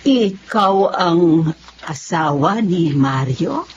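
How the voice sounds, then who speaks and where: An actress playing a tender, emotional older aunt in a Filipino radio drama. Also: female voice, on the low side, big pitch swings, extremely slow, heavily acted. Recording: dull sound with faint noise.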